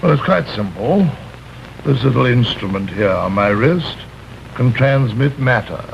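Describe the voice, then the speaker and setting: A smug, courtly male villain from a vintage television thriller. He speaks at a middling pitch with pompous mock politeness. The old soundtrack is muffled and hissy. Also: lively intonation, measured pace, vocal fry. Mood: smug, self-assured. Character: suave, smug male villain who abducts people through dreams. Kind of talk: vintage television drama acting.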